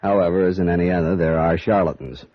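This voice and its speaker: An actor playing a mild-mannered, quietly worried male insurance broker, measured and formally polite, in a 1950s radio drama. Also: sits low, steady tone, dry recording, lightly acted. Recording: muffled dry old radio recording.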